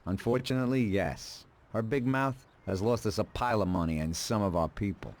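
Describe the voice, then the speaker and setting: A man playing a calm, calculating middle-aged mob advisor in a video game, speaking in a dry, deliberate, matter-of-fact way. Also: lowish voice, close to an everyday voice. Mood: coolly ruthless. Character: calm, calculating male mafia consigliere, drily ruthless.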